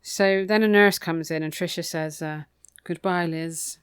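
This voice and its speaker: A woman's voice: lowish voice, steady tone, a little slow, clean tone. A woman co-hosting a podcast, casually recapping a book in an informal, matter-of-fact way. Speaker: podcast co-host.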